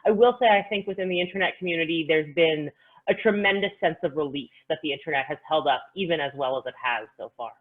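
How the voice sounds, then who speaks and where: A woman giving articulate, measured explanations as an expert panelist on a video call. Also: low voice. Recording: narrow, phone-like call audio.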